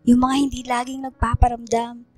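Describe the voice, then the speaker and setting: Woman acting a shy schoolgirl, high voice, polite, sincere, devoted, radio drama dialogue, dry sound, faint music behind. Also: flat delivery, a little fast, no echo, natural voice. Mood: sincere, grateful. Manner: respectful, sincere, gentle phrasing. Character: shy, polite, devoted teenage girl student.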